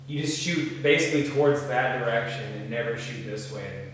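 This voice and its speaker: A man's voice: medium pitch, a little fast, fairly bright tone. A man at a casual table read, reading a film script aloud and bantering with the crew in a joking, sweary way. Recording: echoey room, distant mic, faint noise.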